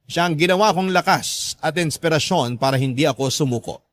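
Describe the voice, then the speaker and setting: A man's voice: fairly high pitch, brisk, fairly bright tone, close to an everyday voice. A man playing a grateful, hard-tried young letter sender, narrating a radio drama in a heartfelt, confessional way with a Filipino accent. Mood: pained, determined.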